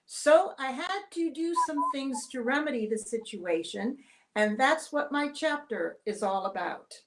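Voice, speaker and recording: A woman from Cleveland who works as a life coach, introducing herself as an author guest in a poised, measured way on a video call. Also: sits high, expressive, a little hiss or hum, low-quality recording. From Cleveland, Ohio.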